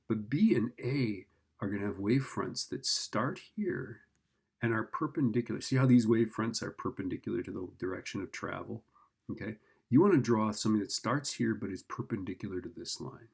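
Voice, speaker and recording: A man's voice: on the low side, lively intonation, normal speed, creaky, a little mellow, slightly rough. A man teaching physics informally, working through a problem step by step and thinking aloud in a casual, check-in manner. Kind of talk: informal worked-problem explanation.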